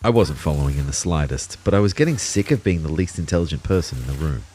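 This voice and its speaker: Very deep, wry narrator. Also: male voice, animated, fast talker, mellow, breathy edge, heavily acted. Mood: wry, reflective. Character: male narrator, self-deprecating everyman turned invisible ghost. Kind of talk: scripted first-person audio drama narration.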